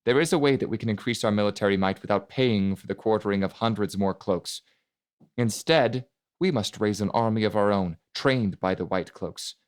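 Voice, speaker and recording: A man voicing a bold, passionate young prince in an audiobook, making deliberate, commanding speeches. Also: lowish voice, clear, resonant tone, lightly acted. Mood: resolute. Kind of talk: dramatic audiobook character reading.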